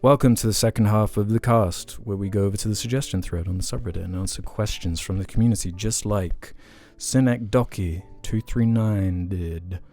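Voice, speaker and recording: A man's voice: lowish voice, moderate pace, hardly any pauses, a little mellow, soft background music. A young man co-hosting a podcast, chatting casually and reading listener questions aloud. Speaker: podcast co-host.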